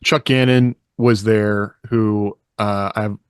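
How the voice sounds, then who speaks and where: A man's voice: fairly low pitch, fairly even intonation, slow, clear, resonant tone, no room sound, clean studio sound. A man hosting a casual podcast chat in an easygoing, loosely phrased way, as if thinking aloud. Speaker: podcast co-host and author.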